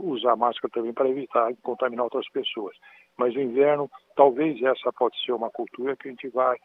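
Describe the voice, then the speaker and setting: Brazilian male doctor, measured and explanatory, phoned-in, tinny line. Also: mid-range voice, slight background noise. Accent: Brazilian Portuguese. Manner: measured, explanatory, repeats "então". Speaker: doctor guest. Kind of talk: interview answers.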